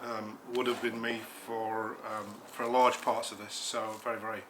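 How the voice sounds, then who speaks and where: A man's voice: on the low side, little pitch movement, moderate pace, background noise. A man from Nottingham with an English accent, a guest designer chatting outdoors in a back garden, speaking plainly with hesitant ums. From Nottingham, England. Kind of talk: casual chat.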